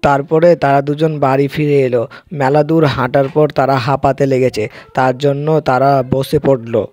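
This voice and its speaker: A man's voice: medium pitch, fairly even intonation, moderate pace, a little mellow. A man narrating an amateur cartoon story informally, with colloquial, repetitive phrasing.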